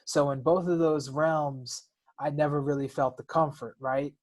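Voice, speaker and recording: A man's voice: a little high, fairly even intonation, unhurried, darkish tone. A man co-hosting a podcast, speaking reflectively in casual conversation, with frequent restarts and repeated words. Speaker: podcast co-host.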